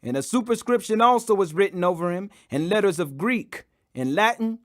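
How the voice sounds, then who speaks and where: A man's voice: high-pitched, lively intonation, moderate pace. A man reading scripture aloud as a dramatic audiobook, in a solemn, declamatory manner. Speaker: scripture reader.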